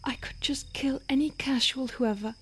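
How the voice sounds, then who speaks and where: A woman's voice: sits high, a little hiss or hum. Whispering woman as a brooding female vampire. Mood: anguished, apologetic. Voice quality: soft, breathy whisper. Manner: slow, intimate, halting. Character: centuries-old female vampire, starved, brooding, remorseful predator. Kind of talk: whispered roleplay ASMR.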